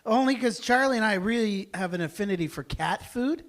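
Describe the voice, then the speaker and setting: A man's voice: high-pitched, animated, a little fast. A man taking part in a live table read, bantering casually and teasing the others with jokes. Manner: teasing, jokey. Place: live event venue before a small audience.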